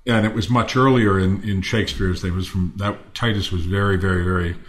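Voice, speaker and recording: A man's voice: low voice, steady tone, a little fast. An older man, a stage actor, talking reflectively and effusively in a panel discussion, articulate as he thinks aloud.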